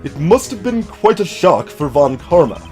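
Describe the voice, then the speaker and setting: Man putting on a grave, formal older lawyer, higher-pitched. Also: very animated, a little mellow, soft background music. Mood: solemn, explanatory. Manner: measured, formal, deliberate. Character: grave, formal older male lawyer recounting old cases.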